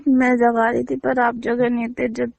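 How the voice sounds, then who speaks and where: A woman's voice: a little high, completely flat delivery, normal speed, creaky, a little mellow. A woman chatting casually and intimately on a phone call, in a teasing, mildly complaining manner. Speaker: romantic partner on a phone call. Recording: dull lo-fi phone call audio.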